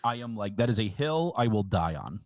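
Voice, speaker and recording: A man's voice: fairly low pitch, sing-song, fairly quick, a little mellow. A man co-hosting a casual podcast, talking emphatically and opinionated, teasing his co-host. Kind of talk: casual chat about a card game.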